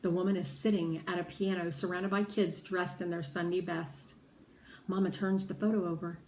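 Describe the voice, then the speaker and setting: A woman narrating a children's novel aloud with deliberate, dramatic phrasing, in a roomy recording. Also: lowish voice, flat delivery, darkish tone. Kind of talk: expressive children's audiobook narration. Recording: roomy echo, faint background noise.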